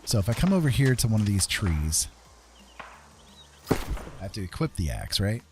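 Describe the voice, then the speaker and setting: Guy streaming a game, lowish voice, thinking out loud and asking little questions, noticeable background noise. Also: big pitch swings, normal speed. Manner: thinking aloud, often ending on questioning tags. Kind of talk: casual gameplay commentary.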